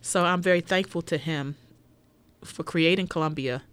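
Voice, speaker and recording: A woman's voice: lowish voice, moderate pace, clean tone, no echo. Heartfelt woman radio co-host, dry studio. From United States. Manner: heartfelt, reminiscing, soft-spoken. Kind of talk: casual radio chat.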